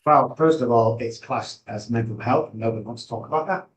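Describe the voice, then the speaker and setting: A man with a Manchester accent, a guest on an interview, talking in a brisk, emphatic way from a home office over a video call. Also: medium pitch. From England. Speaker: guest, addiction specialist. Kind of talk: conversational interview. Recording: distant from the mic.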